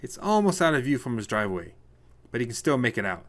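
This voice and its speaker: A man's voice: medium pitch, sing-song, fast, a bit bright. A man narrating a scripted short story as a storyteller.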